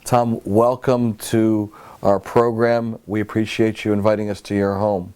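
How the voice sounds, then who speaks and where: Low-voiced, mellow male interviewer. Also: little pitch movement, clear, resonant tone. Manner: measured questions, some restarts. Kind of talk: oral history interview. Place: guest's home.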